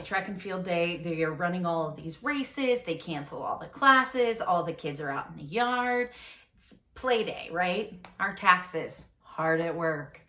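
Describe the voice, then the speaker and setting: A woman's voice: lowish voice, bright, forceful tone, reverberant. A woman playing a frazzled suburban mother in a rambling, self-justifying, conversational monologue. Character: frazzled suburban mother confessing an embarrassing moment. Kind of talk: dramatic monologue, conversational confession.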